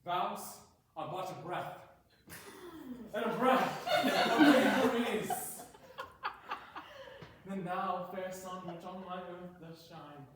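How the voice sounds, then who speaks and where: A man's voice: high-pitched, slow, long pauses. A man playing an earnest, lovestruck young lord, declaiming Shakespearean verse fervently in a staged reading. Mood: ardent. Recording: very echoey, distant, noisy room.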